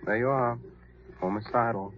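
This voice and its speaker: An actor playing a treacherous asylum doctor in an old radio crime drama, pompous and coolly clinical. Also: male voice, mid-range voice, steady tone, a little hiss or hum, close to an everyday voice. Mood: smug, clinical. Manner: pompous, measured clinical mockery. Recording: dull lo-fi old radio recording.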